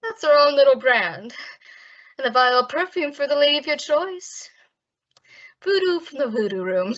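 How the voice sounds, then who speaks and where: A woman's voice: high-pitched, expressive, moderate pace, fairly bright tone. A woman playing a smug, menacing male nightclub gangster boss in old-time radio style, mock-polite and taunting. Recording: dull lo-fi audio.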